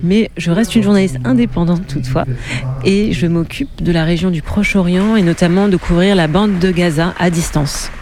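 Female journalist, interviewee; measured, thoughtful delivery with frequent hesitations. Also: on the low side, a crowd in the background. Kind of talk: interview answers.

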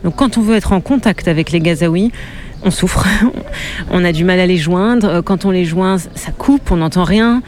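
Measured, hesitant woman journalist. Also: lowish voice, a little mellow, a little hiss or hum. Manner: measured, thoughtful, frequent hesitations. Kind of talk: interview answers.

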